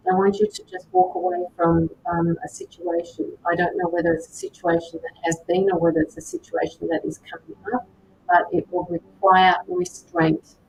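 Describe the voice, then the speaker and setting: A woman doing live tarot and mediumship readings, speaking gently to viewers by name with frequent hesitations. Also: very animated, moderate pace, vocal fry. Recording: slightly dull lo-fi sound with faint rumble.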